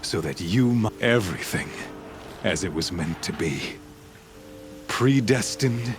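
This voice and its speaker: Deep, slow, menacing cult zealot. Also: male voice, animated, noisy background. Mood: calm, fateful. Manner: slow, portentous, theatrical preaching. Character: menacing male cult zealot warrior, grandiose, fanatically certain. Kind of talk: dramatic video game villain monologue. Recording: loud music under the voice.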